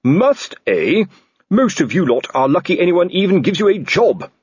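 A man voicing a pompous, blustering middle-aged male watch captain who shouts and splutters indignantly. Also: mid-range voice, lively intonation, moderate pace, husky, no echo, lo-fi sound, pitched above the performer's own voice.

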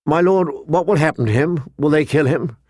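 A man's voice: a little high, normal speed, a little mellow, no echo, studio quality, pitched above the performer's own voice, lightly acted. A man narrating an audiobook, voicing an anxious, guarded, loyal young man in an earnest, reluctant manner. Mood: worried.

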